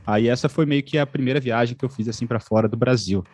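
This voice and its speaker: Fast-talking man. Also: mid-range voice, darkish tone, dry recording, soft background music. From Santa Catarina, Brazil. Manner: loose, uses fillers like "pô" and "né". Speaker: interview guest, traveler. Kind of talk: casual storytelling.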